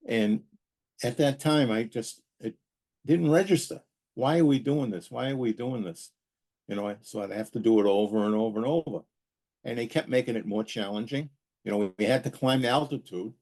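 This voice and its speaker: A man talking casually as a podcast host, explaining technical matters in a halting way and repeating words for emphasis. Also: medium pitch, darkish tone. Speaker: aviation safety podcast host and pilot.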